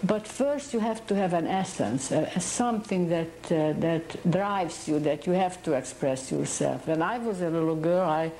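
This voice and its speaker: A painter from Hungary, a woman speaking English with a Hungarian accent, talks about her art in a halting, searching way. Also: fairly low pitch, dark tone, reverberant, a little hiss or hum. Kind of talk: interview monologue.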